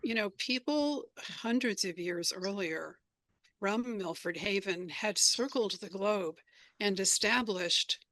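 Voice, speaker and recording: An American woman speaks as an interview guest. Her voice sits at a middling pitch, and she tells her anecdotes conversationally, like someone used to explaining her own creative work. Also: expressive, slow pace, a bit bright, rough recording. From United States. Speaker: radio drama creator being interviewed.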